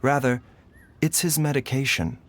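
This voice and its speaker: A man voicing a composed, erudite young doctor in an anime fantasy game, speaking softly and precisely in measured phrases. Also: mid-range voice, steady tone, close to an everyday voice. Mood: grave explaining. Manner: measured, soft-spoken, precise. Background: game music.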